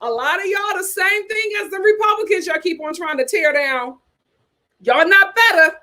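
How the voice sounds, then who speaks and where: A woman hosting a casual chat, giving sassy, animated commentary with slang and answering live chat comments. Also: very high-pitched, moderate pace. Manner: uses casual slang like "child" and "y'all". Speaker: host.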